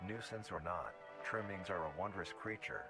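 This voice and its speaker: Male narrator, very low voice, formal and measured nature-documentary read, prominent music bed underneath. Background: music playing under the voice.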